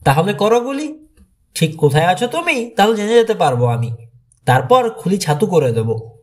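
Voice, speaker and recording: A man's voice: sits high, very animated, moderate pace. A man voicing a menacing, scornful armed villain in an audiobook, with a taunting, threatening manner. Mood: taunting menace.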